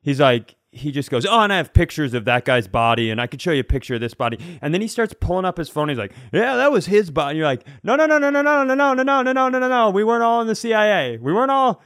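Guy comedian on a podcast, smooth clear voice, real dry close sound, rambling story with acted-out bits. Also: high-pitched, animated, fast, hardly any pauses, a bit bright, no echo. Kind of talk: casual comic storytelling.

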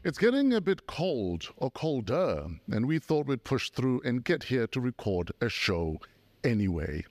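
Lively, joking male podcast host. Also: mid-range voice, unhurried, dry recording. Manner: joking, teasing interjections. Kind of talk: casual interview chat.

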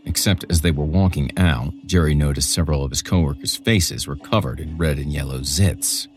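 A man's voice: very deep voice, fairly quick. A man reading a scripted horror story as a podcast narrator, with music behind him.

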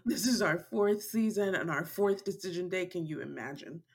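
A woman's voice: on the low side, animated, normal speed, background noise. A woman co-hosting a podcast, chatting animatedly and laughing often. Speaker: podcast co-host. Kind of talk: casual chat.

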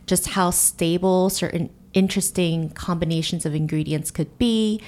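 A woman's voice: fairly low pitch, moderate pace, a little mellow. Earnest, fluent woman in her thirties. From United States and Korea. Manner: fluent, earnest, frequent "you know" and "really". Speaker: guest, beauty company founder. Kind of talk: podcast interview answers.

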